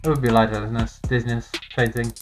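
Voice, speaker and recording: A man's voice: medium pitch, level tone, fast talker, music in the background, natural voice. Man playing a precise, clinical woman coroner. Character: matter-of-fact woman coroner testifying as witness.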